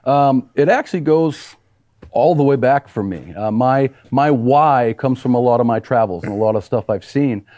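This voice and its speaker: A man's voice: medium pitch, moderate pace, warm tone, dry recording. A man from New Hampshire, a congressional candidate, telling his story in an earnest, rambling way with fillers. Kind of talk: interview storytelling. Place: small TV studio.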